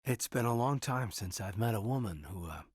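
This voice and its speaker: A dutiful space marine officer speaks in a husky, close and dry voice. His delivery moves expressively between guarded and earnest, with halting turns, as in dramatic video game dialogue. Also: on the low side, lively intonation, no room sound. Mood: wistful, hesitant. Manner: hesitant, earnest, halting when personal. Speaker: video game character voice actor.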